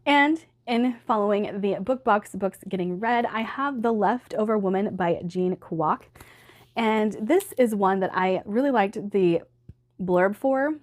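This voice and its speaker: Chatty, slightly hoarse woman book vlogger. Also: mid-range voice, a little slow. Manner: chatty, upbeat, laughs often. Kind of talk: casual chat to camera.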